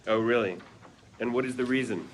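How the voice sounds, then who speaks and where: A man's voice: medium pitch, fairly quick, close to an everyday voice. An actor reading the part of an earnest, defensive middle-aged father telling his children a story in a sitcom script. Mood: flustered, excited. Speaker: actor at a script table read. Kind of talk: sitcom script read aloud. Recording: noisy live room ambience.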